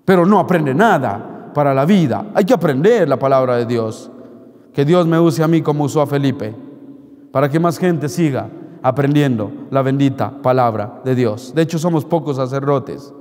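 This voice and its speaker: A middle-aged man with a Mexican accent, a priest giving an animated homily at Mass, joking and scolding with rhetorical questions into a handheld mic. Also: medium pitch, normal speed. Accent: Mexican Spanish. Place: large church, indoors. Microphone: handheld microphone. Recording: long church reverb.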